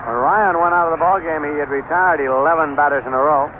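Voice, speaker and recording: Narrow, noisy old radio baseball announcer, man. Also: fairly high pitch. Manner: measured, descriptive play calling in short phrases. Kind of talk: 1970s radio sports commentary. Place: ballpark broadcast booth.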